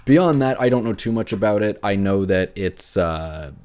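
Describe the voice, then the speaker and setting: Male co-host, notably deep voice, informal gaming chat, stop-and-start phrasing. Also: animated, moderate pace, a little mellow. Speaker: podcast host. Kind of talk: casual chat about video games.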